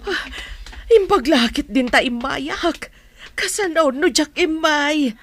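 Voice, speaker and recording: Anxious high-voiced mother. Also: slow pace, fairly bright tone, slight background noise. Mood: worried, caring. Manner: anxious, emotional, fussing. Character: worried, caring older mother of a sick daughter. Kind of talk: radio drama acting.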